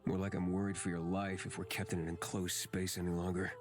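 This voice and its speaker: A man's voice: sits low, level tone, brisk, close to an everyday voice. Man acting hot-tempered sarcastic young guy. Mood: sarcastic, threatening. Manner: irritable, sarcastic. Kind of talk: visual novel voice acting. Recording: music behind the voice.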